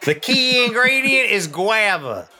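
A chatty, touchy barista, played by a man with a high, very bright voice. He stays friendly until he feels slighted. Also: very animated, a little hiss or hum, exaggerated voice. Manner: chatty, quick to take offense. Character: touchy barista proud of his heritage.

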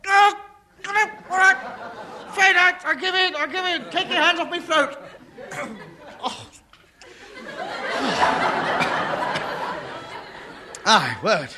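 An actor playing a pompous, exasperated and easily cowed employer in a theatrical 1950s radio sitcom. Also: male voice, very high-pitched, big pitch swings, very slow, long pauses, crowd noise, full character voice. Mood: defeated panic. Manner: theatrical, indignant, grovelling when beaten. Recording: noisy old radio recording.

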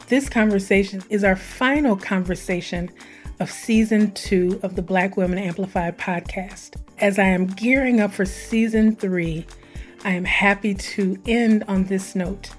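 A woman hosting her own podcast, her voice hoarse and scratchy, talking in an animated, conversational way and drawing out words for emphasis. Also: medium pitch, moderate pace, soft background music. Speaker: podcast host. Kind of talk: solo podcast intro.

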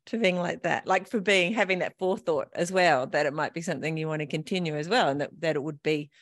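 A chatty woman hosting a podcast interview, talking with plenty of enthusiasm. Her voice sits low with a gravelly edge. The recording is dry and close, with a faint bed of music underneath. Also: fast, no room sound. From Australia. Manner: enthusiastic, chatty, warm laughter. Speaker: podcast host.

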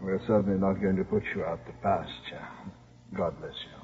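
An actor playing an apologetic, soothing church official in a 1950s radio drama, speaking hesitantly. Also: male voice, low voice, steady tone, normal speed, natural voice. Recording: muffled 1950s radio broadcast.

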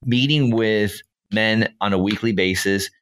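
A man's voice: lowish voice, unhurried, fairly bright tone, slight background noise. A man born in Colombia hosting a casual podcast interview, enthusiastic and a bit rambling, repeating his praise. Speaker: podcast host.

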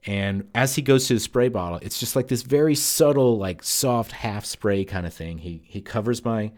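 A man's voice: lowish voice, normal speed. A man casually telling a first-person story in a rambling way, full of fillers.

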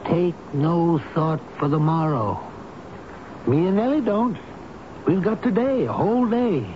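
An actor playing a devoted seventy-year-old elevator operator, folksy and tender, speaking haltingly with some stammering in a radio drama. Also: male voice, on the high side, expressive. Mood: cheerful, reassuring. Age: 70s. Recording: very noisy, muffled old radio broadcast.

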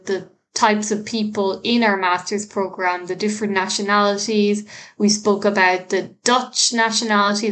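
A woman from Ireland with an Irish accent, hosting a solo podcast and giving learners earnest, encouraging tips, with frequent restarts and fillers. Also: mid-range voice. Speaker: podcast host, language teacher.

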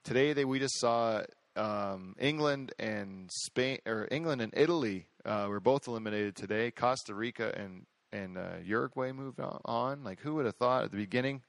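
A man hosting a podcast in casual chat, boastful and excitable, rambling with lots of restarts. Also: mid-range voice, normal speed, few pauses, no room sound. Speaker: podcast host.

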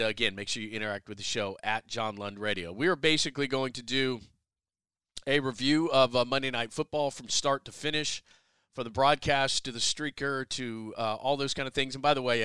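A man hosting a sports podcast, slightly hoarse, firing off quick opinions with self-mocking asides. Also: medium pitch, pushed and bright, no room sound. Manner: brash, rapid-fire opinions with self-deprecating asides. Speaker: sports radio host. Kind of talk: solo sports podcast commentary.

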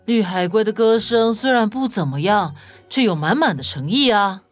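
Casting: woman as principled child sleuth for a kids' audio story, deliberate and logical, very animated pitch, music underneath. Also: natural voice. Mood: light, reluctant. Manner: deliberate, reasoning, matter-of-fact.

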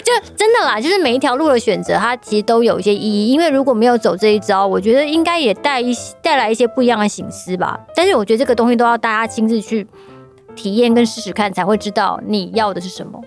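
A woman with a slightly high voice and a Taiwanese Mandarin accent chats casually as a podcast host. She is playful and teasing, with soft music in the recording. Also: lively intonation, fast talker.

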